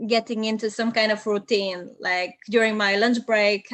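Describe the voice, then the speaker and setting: A woman's voice: mid-range voice, a bit bright, no echo. Bulgarian-native woman, young translator, methodical interview guest, dry close recording. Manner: measured, methodical, with frequent ums. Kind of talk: interview, giving advice.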